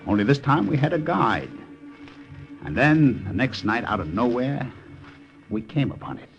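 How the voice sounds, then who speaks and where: An earnest young American lieutenant, with a lively, varied mid-range voice. He speaks in vintage radio drama style, with prominent music around him. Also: medium pitch, moderate pace, vocal fry, lo-fi sound. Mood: storytelling. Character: earnest young Japanese American army lieutenant, recounting his mission. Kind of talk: old-time radio drama narration and dialogue.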